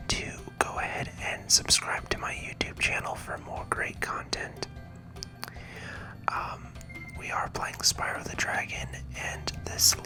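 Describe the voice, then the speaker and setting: A game channel host giving ASMR commentary in a soft, breathy whisper, hushed and unhurried as they explain the play.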